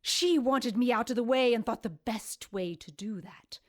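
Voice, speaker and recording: An actress playing an anguished, centuries-old cursed snake-woman in an audio drama, speaking formally and solemnly with breathy, hissing emphasis. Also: on the high side, normal speed, natural voice. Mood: wounded, accusing.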